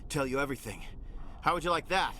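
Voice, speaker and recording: A man's voice: on the high side, expressive, quick pace, pushed and bright, slight background noise. A man playing a tormented, half-mad colonist in a video game, strained and erratic, defiant through pain. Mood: twisted amusement. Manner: erratic, strained, breaking into cries. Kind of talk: dramatic video game acting.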